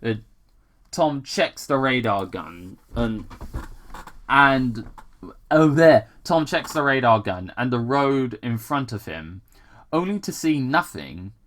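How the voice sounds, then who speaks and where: A man reads fan fiction aloud at a slow, halting pace. His pitch swings widely as he trips over words, repeats himself and drops in casual, sweary commentary. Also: lively intonation, a bit bright. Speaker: gamer host reading fan fiction aloud.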